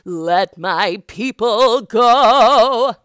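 A woman's voice: a little high, very slow, fairly bright tone, clear, resonant tone, no echo, clean studio sound. A woman voicing God as a burning bush in a children's puppet show, bossy and encouraging, with an emphatic, coaxing delivery. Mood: booming, commanding. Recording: noticeable background noise.

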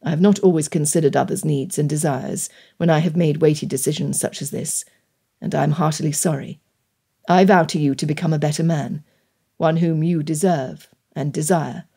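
A woman voicing an earnest young gentleman suitor in a period romance audiobook, speaking formally and solemnly. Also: low-pitched, steady tone, moderate pace, breathy edge, pitched below the performer's own voice.

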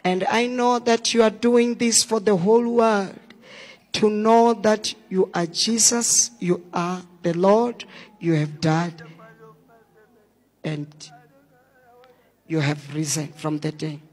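High-voiced pastor, commanding prayer, reverb. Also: male voice, steady tone. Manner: slow, commanding prayer with drawn-out words. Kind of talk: deliverance prayer at a church service. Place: church hall with an audience. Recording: long hall reverb.

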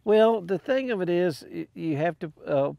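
Older farmer, plainspoken, dry close sound. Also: male voice, sits high, expressive, gravelly, warm tone, no room sound. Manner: plainspoken, halting with "uh" and "you know". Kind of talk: interview. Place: outdoors by a farm building.

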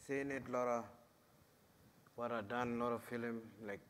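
A man from northeastern Arnhem Land whose first language is Wagilak, speaking in short, halting phrases on a stage panel. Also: mid-range voice, steady tone, fairly bright tone, slight background noise. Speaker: ceremonial song performer on a panel. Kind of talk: panel talk. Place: concert hall stage.

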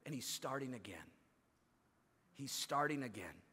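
A man's voice: medium pitch, expressive, unhurried, pushed and bright, breathy edge. A man in his thirties, a pastor preaching a sermon on a large church stage, his voice rising and dropping for emphasis. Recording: long hall reverb.

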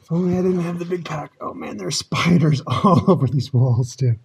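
A man's voice: on the high side, normal speed, vocal fry, slightly rough. A middle-aged man chatting casually to a handheld camera outdoors as a hiking vlogger, in a jokey, exclamatory way. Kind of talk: casual outdoor vlog chat. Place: outdoors in sandstone river canyons. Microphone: handheld action camera at arm's length.

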